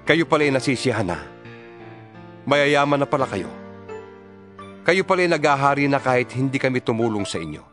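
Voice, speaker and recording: Man, solemn scripture reader, animated intonation, guitar playing behind. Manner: solemn, expressive reading with wide pitch swings. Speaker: Bible reader. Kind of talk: dramatized scripture reading. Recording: reading over guitar music.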